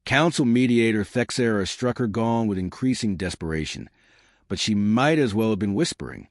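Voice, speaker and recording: Low-voiced, expressive male story narrator. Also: moderate pace, no echo, studio quality. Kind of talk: scripted science fiction story narration.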